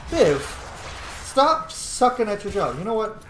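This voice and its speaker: A man's voice: high-pitched, big pitch swings, unhurried, fairly bright tone. A man giving casual, playful video game commentary, swearing now and then and rambling off into asides. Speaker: let's play streamer. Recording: roomy echo, faint background noise.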